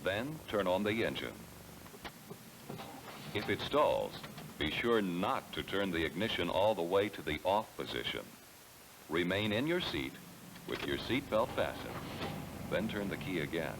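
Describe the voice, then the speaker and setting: A noisy 1970s training film soundtrack carries an American man with a low-pitched voice. He reads scripted instructions in a measured, explanatory way, speaking straight to the listener with lively rises and falls in his intonation. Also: gravelly. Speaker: narrator of a car sales training film.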